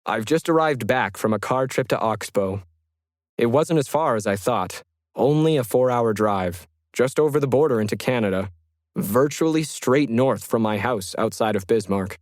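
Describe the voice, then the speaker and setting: A man narrates as a solitary 1950s ham radio hobbyist recounting his journal for an audio story. The delivery is measured, methodical and reflective. The pitch is medium and the sound is dry, with no room around it. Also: lively intonation, darkish tone, no echo, close to an everyday voice. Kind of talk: scripted first-person journal narration.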